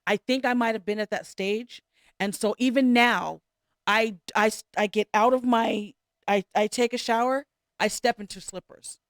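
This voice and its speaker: Woman, 50s, casual co-host, quick laugh, dry close recording. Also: on the high side, fairly even intonation, no room sound. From California. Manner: laughs easily, probing questions. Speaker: podcast co-host. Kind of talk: casual chat.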